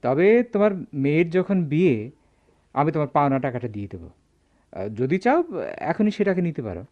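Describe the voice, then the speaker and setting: Smooth evasive merchant, Bangladeshi accent, swooping expressive pitch, patronising, dull radio drama sound. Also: male voice, fairly quick. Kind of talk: Bengali radio drama acting. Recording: slightly dull radio recording.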